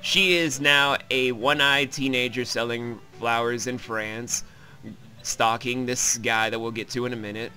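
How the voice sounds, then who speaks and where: A man's voice: mid-range voice, steady tone, a little slow, sharp tone, soft background music. A man hosting a let's play, giving a casual, wry, rambling and sweary recap of a visual novel.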